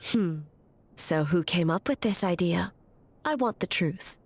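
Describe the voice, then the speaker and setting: A woman voicing a calm, formal female ruler in an anime game, with measured authority. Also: on the low side. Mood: calm, stern. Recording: music under the voice.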